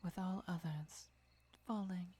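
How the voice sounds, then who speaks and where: Girl doing asmr vampire girlfriend, soft breathy whisper, dark mellow tone, really slow n patient teaching. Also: fairly low pitch, fairly even intonation. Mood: calm, instructive. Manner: measured, deliberate, soft-spoken explaining. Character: female vampire lover patiently teaching, gentle and devoted.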